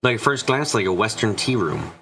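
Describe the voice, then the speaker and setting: A man's voice: lowish voice, expressive, brisk, sharp tone, slight background noise, rough recording. A man reading scripted story narration for a visual novel.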